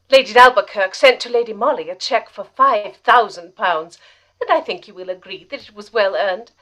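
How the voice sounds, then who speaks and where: A woman playing a devoted, earnest assistant to a lady detective in a period mystery radio drama, deferential and expressive. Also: fairly high pitch, close to an everyday voice, poor audio.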